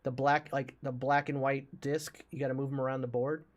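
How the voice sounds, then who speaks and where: A man's voice: mid-range voice, flat delivery, brisk, muffled recording. Man casually hosting a movie podcast. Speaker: podcast host.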